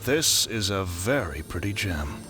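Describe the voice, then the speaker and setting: An actor playing a menacing, smug crime syndicate villain in an audio drama, taunting with deliberate delivery. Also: male voice, low-pitched, big pitch swings, pushed and bright, full character voice. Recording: music behind. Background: dramatic music.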